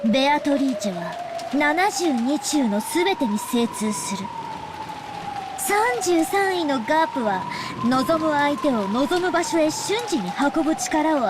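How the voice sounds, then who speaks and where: Creepy little girl, very high voice, lively swoops, sinister and knowing, game character, rain behind. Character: eerie little girl, creepily gleeful witch devotee. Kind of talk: Japanese visual novel voice acting. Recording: steady rain noise behind.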